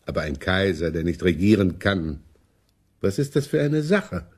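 A man playing a scheming, self-satisfied older prince in a radio drama, with a husky voice. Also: low-pitched, sing-song, normal speed, heavily acted. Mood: smug, calculating. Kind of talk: German radio drama acting.

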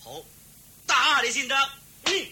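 A man with a Hong Kong Cantonese accent playing a simple, playful, eager young farm servant in a radio drama, chattering excitedly and stumbling over words. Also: very high-pitched, unhurried, full character voice. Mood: giddy, amused. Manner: excitable, stammering, deferential chatter. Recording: hissy old radio drama recording.

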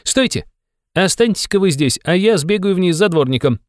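A man voicing an excited, quick-witted very young man with a ringing, eager way of explaining in an audiobook of a classic novel. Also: sits high, smooth, no room sound, clean studio sound, voice pushed up. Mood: urgent, excited.

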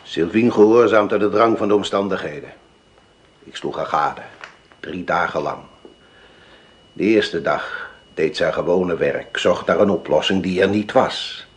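An actor playing a sly, calculating old village boss in a radio drama, telling things in a deliberate, theatrical way. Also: male voice, sits low. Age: older.

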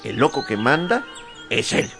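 An actor playing a wily, flustered peasant squire who wheedles and stammers in a radio drama. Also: male voice, fairly high pitch, very animated, unhurried, creaky, a bit bright, faint music behind, heavily acted. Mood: scheming.

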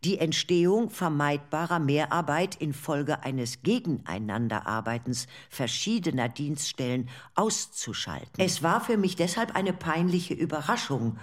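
A woman reading the part of an indignant male government official in a documentary radio play, formal and measured. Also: low-pitched, animated. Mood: stiff displeasure.

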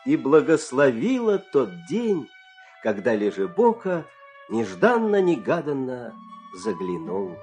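A man narrating a fairy tale dramatically, expressive, with measured pauses. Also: a little high, vocal fry, music in the background.

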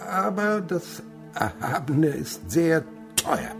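An actor playing an older miserly, cunning winegrower in a German radio play, stammering evasively. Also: male voice, a little high, big pitch swings, takes it slowly, gravelly, faint music behind, heavily acted. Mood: guarded, haggling. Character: miserly, cunning provincial winegrower feigning hesitation.

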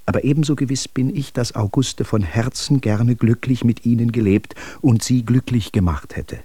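An actor playing a measured, formal friend who admonishes by letter in a literary radio drama. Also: male voice, medium pitch, dark tone, breathy edge, no echo, close to an everyday voice. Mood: reproving. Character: measured, reproving male friend advising by letter.

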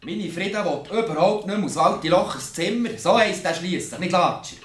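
Ein Schauspieler spielt in einem Hörspiel einen murrenden Dorfbewohner im Wirtshaus. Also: male voice, sits high, expressive, a little fast, fairly bright tone, echoey room, recorded from a distance. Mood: grumbling, amused. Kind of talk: radio play acting.

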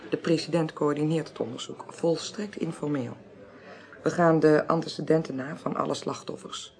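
A woman playing a composed, probing investigator who narrates a radio crime drama in a measured, polite, quietly insistent way. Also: sits low, steady tone. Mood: concerned, discreet. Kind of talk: 1980s Dutch radio play acting.

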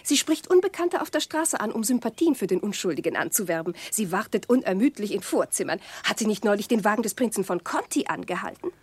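Swooping, breathy frivolous countess. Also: female voice, mid-range voice, big pitch swings, fast talker, few pauses, no room sound. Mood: excited, gushing. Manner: affected, theatrical society chatter. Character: frivolous, gushing young French countess hungry for sensation. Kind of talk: German radio play acting.

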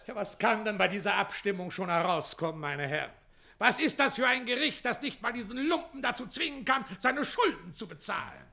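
A very high-voiced pompous older advocate in a radio comedy. He blusters and splits hairs in a wildly swooping, oratorical delivery. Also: animated, brisk, creaky, sharp tone, full character voice. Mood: outraged. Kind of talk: German radio play comedy acting.

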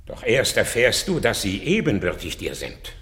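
An actor playing a defiant, noble old warrior and devoted foster father in classical radio drama, declaiming in measured verse. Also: lowish voice, big pitch swings, a bit bright. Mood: urgent, defiant. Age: older.

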